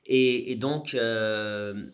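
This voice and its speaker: A man's voice: medium pitch, extremely slow, clean tone. A man hosting a casual podcast interview, chatting informally and a little hesitantly, with some teasing. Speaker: podcast host.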